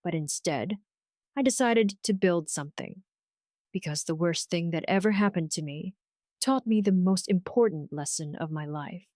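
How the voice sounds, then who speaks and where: Woman playing mellow, earnest young heroine. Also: on the low side, moderate pace, no echo, studio quality, close to an everyday voice. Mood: resolute. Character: young woman, tender, resolute survivor of betrayal.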